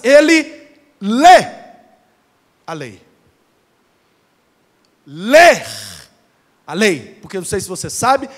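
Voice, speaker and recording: High-voiced Brazilian male preacher in a reverberant hall. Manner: colloquial, emphatic, rhetorical pauses. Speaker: pastor. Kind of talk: animated sermon with dramatic storytelling.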